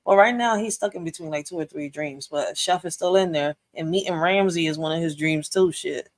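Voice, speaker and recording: A woman in her thirties giving casual, slangy reaction commentary, excitable and repeating herself. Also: low voice, brisk. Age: 30s. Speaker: reaction video host.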